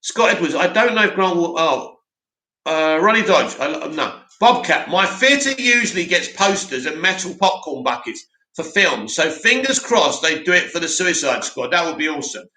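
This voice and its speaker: A man hosting a live stream, reading out viewer comments and answering them in a loud, opinionated way with lots of restarts. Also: a little high, sing-song, moderate pace. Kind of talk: casual live chat. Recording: echoey room.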